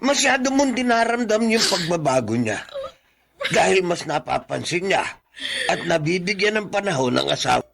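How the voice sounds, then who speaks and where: Gravelly, swooping Filipino confidant. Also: male voice, sits high, very animated, moderate pace, background noise, heavily acted. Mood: teasing, reasoning. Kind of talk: Filipino radio drama acting.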